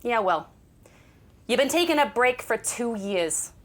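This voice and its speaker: A woman's voice: a little high, lively intonation, measured pace. An actress playing a doting, starstruck older mother in an audio drama, nagging and insistent with a dramatic streak. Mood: nagging, encouraging.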